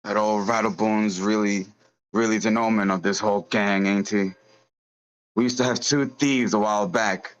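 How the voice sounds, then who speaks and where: A man playing a bitter, self-pitying crime boss with a gruff, brooding manner, in a script table read. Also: on the low side, fairly even intonation, moderate pace, dull audio, close to an everyday voice. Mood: musing.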